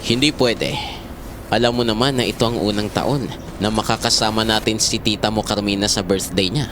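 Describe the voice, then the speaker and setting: A man's voice: fairly low pitch, normal speed, noisy background, close to an everyday voice. A man playing an affectionate but firm middle-aged father in a Filipino audio drama, mixing in English.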